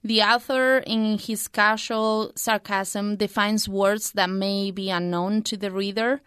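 Clear young woman, close dry sound. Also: mid-range voice, fairly even intonation, slow, fairly bright tone, dry recording. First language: Spanish. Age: 20s. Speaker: student book reviewer. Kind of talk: prepared book review.

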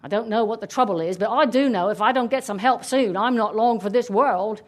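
A woman voicing a withered, grumpy walnut tree with a gruff, sarcastic English accent. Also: on the high side, fairly quick, close to an everyday voice. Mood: gruff complaint.

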